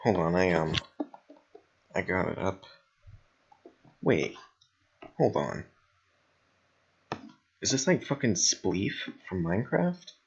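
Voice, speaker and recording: A young man co-hosting a podcast in blunt, rambling, sweary casual chat, with a slightly raspy voice. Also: sits low, very animated, takes it slowly, long pauses, lo-fi sound. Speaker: podcast co-host.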